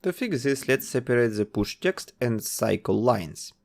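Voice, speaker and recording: Man with a lively voice narrating a coding tutorial. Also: mid-range voice, measured pace, gravelly. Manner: explains code step by step while testing it. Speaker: game development tutor. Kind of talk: screen-recorded coding tutorial.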